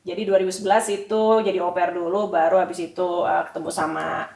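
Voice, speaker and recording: A woman's voice: fairly low pitch, flat delivery, fast, creaky, a little mellow, recorded from a distance. An Indonesian woman co-hosting a podcast in casual chat, heard with a roomy echo from away from the mic. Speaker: podcast co-host.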